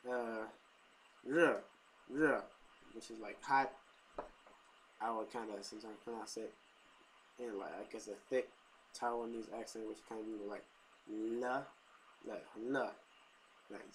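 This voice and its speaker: A man's voice: mid-range voice, slight background noise, rough recording. A young man talking casually into his webcam at home, hesitant, with lots of fillers and restarts. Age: young adult. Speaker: vlogger. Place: living room at home. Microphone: webcam built-in mic.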